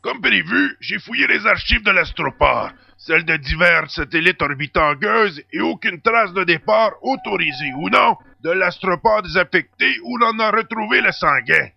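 A quirky sidekick from a science-fiction radio play. The voice is raspy and heavily creaky, swinging widely in pitch. The sound is a little dull and lo-fi. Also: male voice, animated, normal speed, hardly any pauses, exaggerated voice. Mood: matter-of-fact. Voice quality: raspy, gravelly. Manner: theatrical, keeps addressing his listener as "boy". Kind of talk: French science-fiction audio drama acting.

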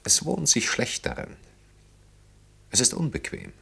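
An actor in a German radio play, playing a brooding engineer who speaks reflectively in halting, short phrases. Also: male voice, medium pitch, huge pitch swings, creaky, pushed and bright, breathy edge, full character voice. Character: brooding engineer haunted by wartime childhood and lost friends.

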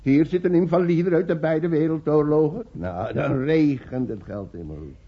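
An actor playing a carefree, boastful beggar in a radio drama, with a husky voice and folksy manner. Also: male voice, fairly high pitch, normal speed. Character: gleeful, boastful male beggar, brazen and carefree. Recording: dull old radio recording.

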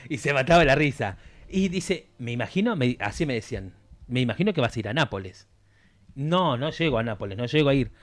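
A man's voice: medium pitch, expressive, a little fast. A man with a Rioplatense Spanish accent, a guest on a radio show, telling a travel story in casual chat with many fillers and restarts. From Argentina.

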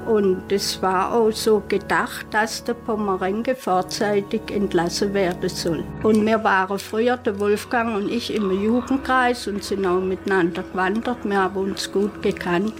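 A woman's voice: medium pitch, steady tone, unhurried, hardly any pauses, over music. An older woman with a southwest German accent recalling the past in an interview. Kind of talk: interview recollection.

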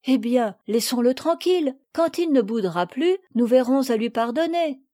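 A woman's voice: lively intonation, moderate pace, dry recording, studio quality. A woman doing Camille, a kind little girl. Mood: coaxing. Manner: gentle, high childlike voice. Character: kind, patient young girl.

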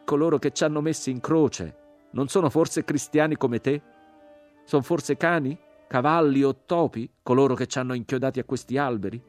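A man's voice: mid-range voice, normal speed, faint music behind, voice pushed up, close to an everyday voice. A man voicing a gaunt crucified man in a dramatized literary reading, bitterly scornful and accusing in declamatory questions.

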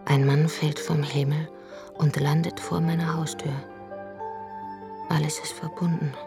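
A woman plays a brooding narrator for a radio drama. Her voice sits low, and she speaks in a hushed tone. Music plays clearly beneath her. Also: little pitch movement, measured pace.

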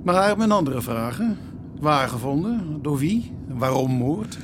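A man playing a weary, brisk, dryly sardonic police inspector in a radio drama. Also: a little high, expressive, background noise. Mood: businesslike, dry. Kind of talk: Dutch radio drama acting.

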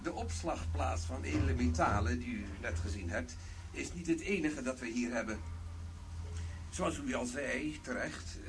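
A man acting as an officious company manager in a radio play, speaking formally and precisely with a very animated, swooping intonation. His middling voice sits over a faint layer of background noise. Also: mid-range voice, close to an everyday voice. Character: formal male security-minded company manager showing a vault.

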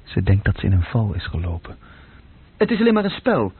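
An actor playing a tense, obsessive man in a radio drama, speaking in incantatory, urgent fragments with a husky voice. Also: on the low side, huge pitch swings, a little fast, exaggerated voice. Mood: urgent, coaxing. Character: tense, obsessive man drawn to Russian roulette. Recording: muffled, tinny old radio-play recording.

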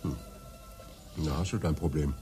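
An actor playing a blunt, grumbling friend in a radio play. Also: male voice, a bass voice, fairly even intonation, moderate pace, mellow, soft background music. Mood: gruff. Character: gruff, blunt pub friend. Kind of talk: radio drama acting.

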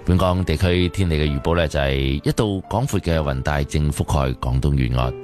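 A man's voice: extremely low pitch, fairly quick. A man with a Hong Kong Cantonese accent presenting late-night radio continuity and weather. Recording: studio voice over music. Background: music.

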